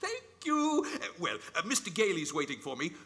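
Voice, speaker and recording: Bright, lilting kindly old gentleman. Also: high-pitched, huge pitch swings, a little fast, vocal fry, full character voice. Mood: tender, gentle. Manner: chuckling, hesitant, encouraging, grandfatherly. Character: kindly, playful old Santa Claus-like gentleman. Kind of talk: theatrical live radio-play acting.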